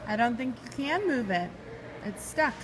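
A woman filming her toddler at an indoor mall play area and talking to the child gently in short, simple phrases. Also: on the high side, very animated, normal speed. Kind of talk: child-directed talk. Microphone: phone camera held by the off-screen speaker. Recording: loud ambient mall noise.

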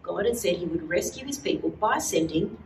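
A middle-aged woman leading a children's church lesson, explaining with animated gestures in a casual teaching chat. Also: lowish voice, a little fast, noisy background. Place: small indoor room, standing at a wall. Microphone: far camera. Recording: roomy sound from a distant camera.

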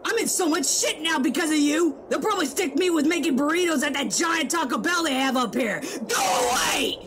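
A woman voicing an angry fast-food worker, scolding shrilly. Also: sits high, animated, very noisy. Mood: furious.

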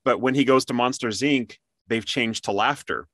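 Male interview host, bright forward voice, dry close sound, casual and conversational. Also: mid-range voice, normal speed, no room sound.

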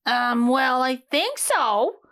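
A woman's voice: high-pitched, sing-song, takes it slowly, a bit bright, heavily acted. A woman voicing an eager, easily upset young rutabaga boy in a storybook, exclaiming with wide-eyed emphasis.

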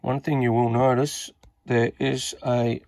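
A man's voice: mid-range voice, little pitch movement, a little slow, a little mellow, no echo. An Australian man giving a casual, unscripted repair walkthrough, hesitantly thinking aloud as he works. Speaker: radio repair hobbyist presenter.

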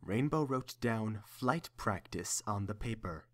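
A man's voice: fairly low pitch, lively intonation, measured pace, fairly bright tone. A man narrating a scripted story.

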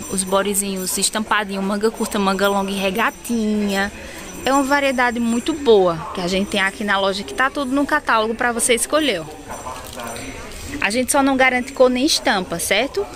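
A woman from northeastern Brazil with a Pernambuco accent, filming a walkthrough of her baby clothing shop on a phone and listing prices in a chatty way. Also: medium pitch. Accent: Pernambuco Portuguese. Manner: chatty, lists prices quickly, addresses viewers as "gente". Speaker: wholesale shop owner. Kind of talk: casual product walkthrough. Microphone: phone camera held while filming.

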